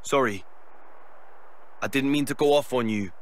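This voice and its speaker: A man's voice: mid-range voice, moderate pace, lightly acted. An actor playing a hot-headed, impulsive young man in a video game cutscene, brash and urgent. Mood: apologetic.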